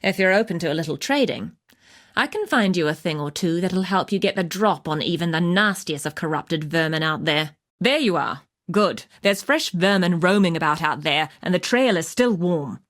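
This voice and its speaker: Tough huntress, lowish female voice, earthy, dry wit, measured delivery, video game quest-giver. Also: animated, fairly bright tone. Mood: wry, encouraging, then approving, purposeful. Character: tough, wry wilderness huntress, earthy and purposeful. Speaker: game character voice actor.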